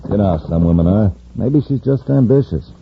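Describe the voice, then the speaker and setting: A man's voice: fairly low pitch, expressive, a little fast, vocal fry. A man playing a dismissive, offhand criminal henchman in a 1950s radio serial. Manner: skeptical, offhand. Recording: dull old radio recording, faint noise.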